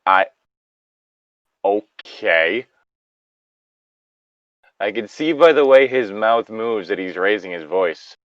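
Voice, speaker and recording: A man's voice: lowish voice, takes it slowly, long pauses, fairly bright tone, no room sound, muffled recording. A man co-hosting a story reading, switching between narration and casual, joking asides. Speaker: co-host reading stories aloud.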